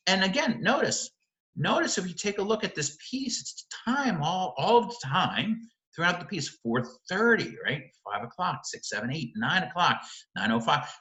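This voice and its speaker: Male lecturer, bright and emphatic, roomy echoing sound, conversational teaching style with frequent rhetorical check-ins. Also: on the high side, sing-song, a little slow. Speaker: literature teacher. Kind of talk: informal video lecture analysing a short story.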